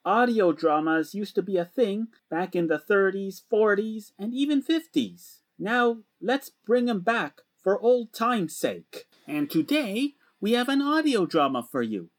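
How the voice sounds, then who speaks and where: A man's voice: high voice, lively intonation, a little slow, few pauses. A man hosting radio in a playful, theatrical, showman-like way, addressing the listeners directly. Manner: theatrical, showman-like, with rhetorical questions and repeats. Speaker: radio show host. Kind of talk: playful scripted radio presenting.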